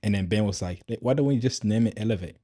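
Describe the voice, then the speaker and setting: Low-voiced, mellow man chatting casually. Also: fast, no room sound, clean studio sound. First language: Swahili. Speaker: podcast host. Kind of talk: casual conversational podcast chat.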